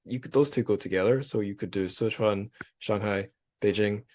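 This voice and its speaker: A man's voice: lowish voice, moderate pace, darkish tone. A man hosting a podcast, chatting casually and then wrapping up the show with jokey, self-deprecating plugs and frequent restarts. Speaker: podcast host. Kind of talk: casual interview chat and show outro.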